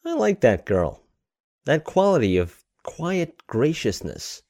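A man's voice: fairly high pitch, wildly swooping pitch, takes it slowly, darkish tone, no room sound, clean studio sound, heavily acted. A man playing a genial, urbane married theater man in an audio drama, with a wry manner.

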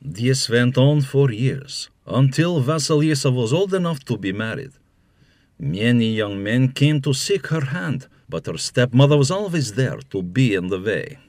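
A man's voice: medium pitch, animated, unhurried, darkish tone, dry recording. A man narrating a scripted fairy tale for children as a storyteller, with wry asides.

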